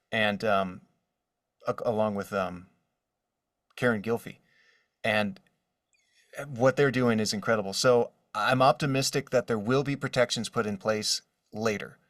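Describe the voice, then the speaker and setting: A man's voice: lowish voice, fairly bright tone, dry recording, clean studio sound, recorded from a distance. A man speaking as a voice actor guest in a casual podcast interview, conversational and given to quoted asides.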